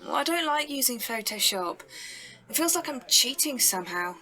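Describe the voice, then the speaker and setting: A woman's voice: fairly high pitch, animated, moderate pace, sharp tone, rough recording. A British woman playing an envious, self-doubting press photographer, grumbling earnestly in an audio drama. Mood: doubtful.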